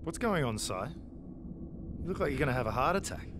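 A man's voice: medium pitch, big pitch swings, brisk, background noise, close to an everyday voice. A man playing a wry, skeptical spaceship captain in an audio drama, speaking in a dry, casual and blunt manner.

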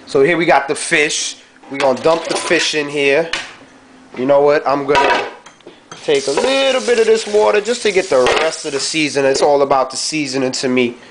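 A young man in a dim home kitchen talking through his cooking in a relaxed, slangy way, recorded on a handheld camera mic. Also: fairly high pitch, normal speed, background noise. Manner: laid-back slangy chatter, frequent "you know what I'm saying". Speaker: home cook vlogger. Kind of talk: casual cooking vlog. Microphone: handheld camera mic held close.

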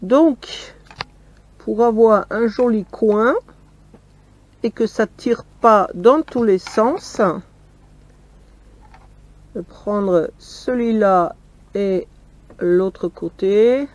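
A woman casually showing her crochet work step by step, often pausing on "voilà", talking from a camper van table with the camera on her hands. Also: medium pitch, darkish tone. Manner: slow, step-by-step, fond of "voilà". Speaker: crochet vlogger. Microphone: camera filming her hands from close.

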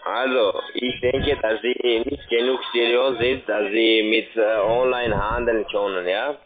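Halting man on a tinny phone line. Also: medium pitch, steady tone, a crowd in the background. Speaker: telephone sales scam caller.

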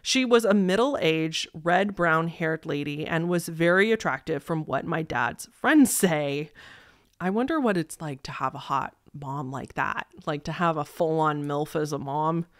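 A young woman hosting a story-reading show, speaking in a conversational, expressive way into a close desk microphone. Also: low voice, a little slow, no room sound. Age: young adult. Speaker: host reading listener-submitted stories. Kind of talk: reading stories aloud with casual commentary. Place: small decorated indoor room.

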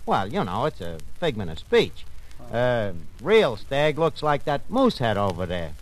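An actor playing a sarcastic, streetwise tavern manager with folksy, ungrammatical patter in old radio comedy. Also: male voice, mid-range voice, wildly swooping pitch, measured pace. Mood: wry, bantering. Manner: folksy, sarcastic, malaprop-prone, hesitant patter. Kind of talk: 1940s radio comedy acting. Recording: noisy old-time radio recording.

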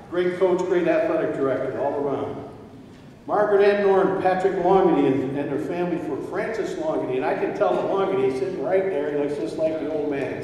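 Older guy reading names at a podium, high mellow voice, roomy hall, far mic. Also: slight background noise. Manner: brisk, offhand, joking asides. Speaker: ceremony presenter. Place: large auditorium stage. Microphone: podium microphone, recorded from far.

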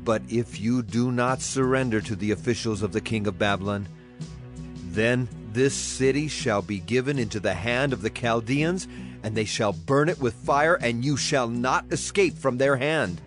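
An actor playing a grave, steadfast ancient prophet, speaking solemnly in a scripture drama. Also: male voice, mid-range voice, normal speed, music in the background, close to an everyday voice. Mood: solemn warning.

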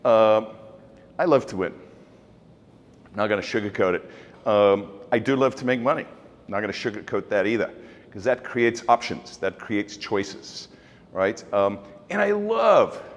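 A man's voice: lowish voice, expressive, unhurried, pauses often, lo-fi sound. South African man, blunt keynote in a hall. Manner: certain, blunt, many fillers and restarts. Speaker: keynote speaker. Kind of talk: casual keynote talk. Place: large open office event space. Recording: long reverb in open room.

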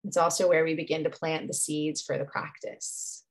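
A woman guiding a meditation as a yoga nidra teacher, soothing and unhurried, leaving long pauses between phrases. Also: deep voice, level tone. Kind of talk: guided meditation.

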